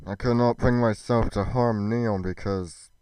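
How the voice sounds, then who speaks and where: A man delivering a scripted fantasy roleplay monologue as a brooding nobleman, in a solemn, formal and deliberate manner. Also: lowish voice, fairly even intonation, a little mellow, clean tone, dry recording, rough recording. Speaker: creator narrating a Minecraft roleplay in character.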